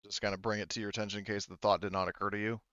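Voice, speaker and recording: A man's voice: lowish voice, flat delivery, rapid-fire, pushed and bright, no room sound, lo-fi sound. A man speaking as game master, narrating a tabletop roleplaying game in a conversational way.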